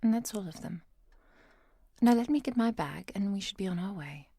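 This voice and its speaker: A woman playing a kind, protective vampire hunter in a soft, often whispered ASMR roleplay, speaking in a gentle, measured and formal way. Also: medium pitch, lively intonation, creaky, slight background noise. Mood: businesslike. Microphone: close microphone, dry.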